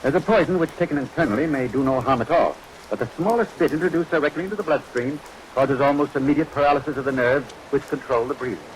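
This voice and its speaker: An actor playing a grave, precise professional man in a 1940s radio drama, speaking in a measured, formal, explanatory way. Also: mid-range voice, lightly acted. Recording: noisy vintage radio recording, rain over it.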